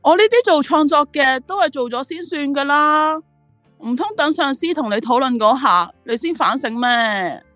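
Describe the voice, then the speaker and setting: A woman's voice: sits high, expressive, normal speed, a bit bright, no echo, faint music behind. A driven woman who runs an ad agency in a radio drama. She speaks with a Hong Kong Cantonese accent and very varied intonation, by turns proud and reflective. The recording is dry. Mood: defensive. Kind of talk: radio drama dialogue and monologue.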